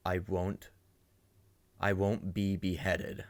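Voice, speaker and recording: Man, fairly low voice, reaction host, casual musing commentary, repeats words while thinking. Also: little pitch movement, slow pace.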